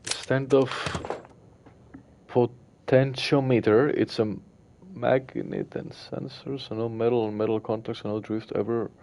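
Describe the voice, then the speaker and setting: A man's voice: medium pitch, unhurried, slight background noise. A man from Greece with a Greek accent, chatting casually with his livestream viewers while he plays. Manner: relaxed, hesitant, with fillers and soft laughs. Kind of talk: casual livestream chat reading viewer messages.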